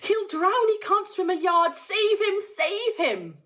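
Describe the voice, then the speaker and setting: A woman's voice: very high voice, lively intonation, takes it slowly, fairly bright tone, voice pushed up, heavily acted. A woman voicing a frantic, bossy, outraged mother in a storybook, scolding and exclaiming shrilly. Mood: frantic screaming.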